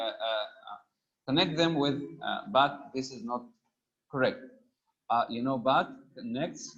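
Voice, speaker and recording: A man teaching a grammar lesson, reading example sentences slowly, stressing each item and pausing on frequent "uh" fillers. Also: medium pitch, fairly bright tone. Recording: dull lo-fi recording.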